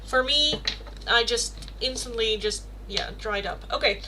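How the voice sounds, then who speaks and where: A woman's voice: a little high, measured pace, background noise. A young woman with a Dutch Rotterdam accent, doing a casual livestream tarot reading and rambling through chat questions with lots of fillers. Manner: chatty, rambling, many fillers and self-corrections.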